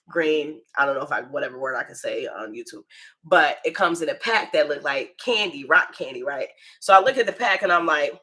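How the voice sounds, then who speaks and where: A woman's voice: sits low, sharp tone. An American woman telling a personal story in a casual, slangy way, swearing freely and acting out what people said. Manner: slangy and profane, acts out quoted dialogue, checks in with the listener.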